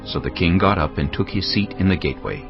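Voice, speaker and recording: A very deep male voice narrates dramatized scripture with lively swings in pitch. The recording is slightly dull, with music underneath. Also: fast, gravelly. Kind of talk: dramatized audio Bible narration. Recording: dull lo-fi audio.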